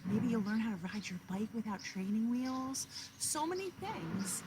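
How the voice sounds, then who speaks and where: Animated woman reading to young children. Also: fairly high pitch, normal speed. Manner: encouraging, asks questions of children. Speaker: kindergarten teacher. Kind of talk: children's read-aloud with asides to young listeners. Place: outdoors in a backyard. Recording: clear outdoor background noise. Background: outdoor noise.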